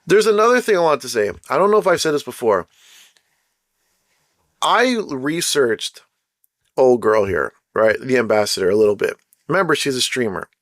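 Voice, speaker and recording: A man hosting his own channel, ranting bluntly and emphatically, his voice going hoarse with frequent throat-clearing. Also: mid-range voice, expressive, moderate pace, long pauses, fairly bright tone, dry recording, studio quality. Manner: blunt, emphatic, repeats points. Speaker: channel host. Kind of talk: casual ranting commentary.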